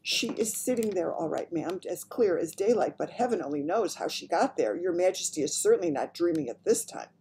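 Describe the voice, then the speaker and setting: A woman's voice: on the low side, expressive, fairly quick, hardly any pauses, dark tone, breathy edge, exaggerated voice. A woman voicing a flustered, indignant middle-aged maid in a storybook, scolding bluntly. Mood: exasperated.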